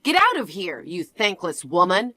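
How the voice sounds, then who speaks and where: A woman's voice: fairly low pitch, huge pitch swings, normal speed, bright, forceful tone, dry recording, heavily acted. A woman voicing a haughty, domineering mother-in-law who taunts her son's wife. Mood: venomous. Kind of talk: one-voice drama reading.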